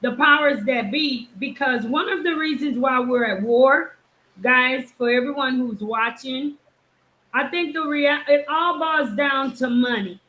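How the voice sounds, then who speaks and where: A woman's voice: high-pitched, measured pace, bright, forceful tone, roomy sound, poor audio. A woman hosting a casual live interview, asking her guest pointed questions. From Haitian, born in the United States. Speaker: host.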